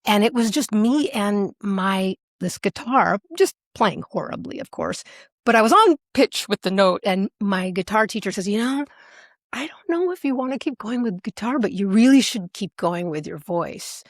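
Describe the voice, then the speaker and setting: A woman with an American accent, speaking casually as an interview guest, animated and playful as she tells her stories. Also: medium pitch, moderate pace, dry recording, studio quality. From Alaska, United States. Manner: animated, playful, acts out remembered remarks. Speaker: singer, podcast guest.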